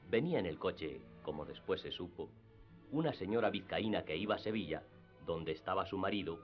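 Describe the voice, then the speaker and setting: Male narrator: deep, scripted storytelling for radio theatre, soft music underneath. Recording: slightly dull radio recording.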